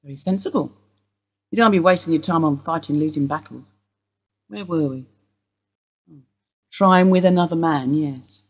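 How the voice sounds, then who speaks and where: An actress playing an older woman being questioned by police, guarded and wry in a husky voice. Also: low-pitched, moderate pace, pauses often. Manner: guarded, dry, wistful. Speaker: police interview suspect. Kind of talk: radio play acting.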